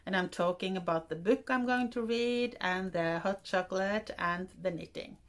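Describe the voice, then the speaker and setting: An older woman from Norway with a Norwegian accent, chatting to camera in a halting way as she searches for English words, in a wooden room indoors. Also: medium pitch, fairly bright tone. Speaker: vlogger. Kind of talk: casual chat to camera.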